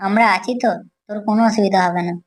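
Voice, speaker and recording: A woman voicing a bold young boy in a cartoon horror story, with brisk, confident, colloquial speech. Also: mid-range voice, steady tone, a little mellow, lightly acted. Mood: bold, goading. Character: bold young boy, goading his timid friend. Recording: clear music bed behind the voice.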